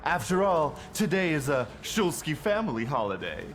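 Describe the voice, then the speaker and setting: An actor playing a cocky, loyal, sharp-tongued rogue poet in a video game, flippant and mocking. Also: male voice, fairly high pitch, sing-song, normal speed, a little hiss or hum. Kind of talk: dramatic video game dialogue acting.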